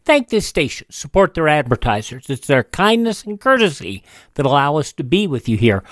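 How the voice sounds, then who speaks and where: Casting: male radio presenter for scripted outros, raised pitch, animated, dry studio sound, music bed. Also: moderate pace, no echo. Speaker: radio show host.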